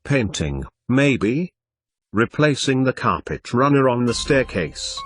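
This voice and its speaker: A man reading a scripted first-person horror story as an expressive narrator. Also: mid-range voice, slow. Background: background music.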